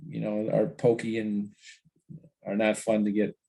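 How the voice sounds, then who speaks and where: A man speaking informally at a volunteer meeting in an earnest, halting way. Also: on the low side, monotone, vocal fry, dark tone, poor audio.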